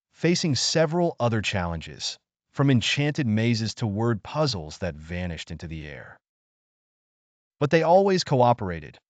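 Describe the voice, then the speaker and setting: A man's voice: mid-range voice, no echo, muffled recording. A man narrating a scripted bedtime story for children.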